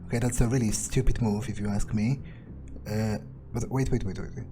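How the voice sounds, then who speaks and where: A man's voice: on the low side, steady tone, brisk, background noise. A man doing in-character game commentary, thinking aloud in a theatrical, self-assured, musing way. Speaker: gamer playing in character.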